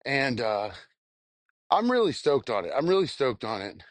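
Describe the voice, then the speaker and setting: A young adult man, a stand-up comedian, rambling casually and candidly in a halting, self-reflective way with some casual swearing. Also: medium pitch, very animated, no echo. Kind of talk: casual rambling monologue, audio journal.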